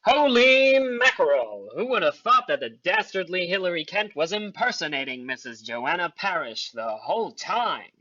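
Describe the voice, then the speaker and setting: A man's voice: sits high, big pitch swings, normal speed, few pauses, sharp tone, far from the mic, highs cut off, soft background music. A man giving a theatrical, scripted announcement as the presenter of an amateur audio play.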